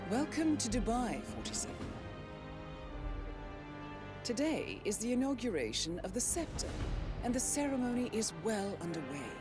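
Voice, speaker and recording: A woman's voice: a little high, very animated, a little fast. A woman playing a composed, authoritative mission handler, giving a formal, precise briefing in a video game cutscene. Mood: cool, formal. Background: music.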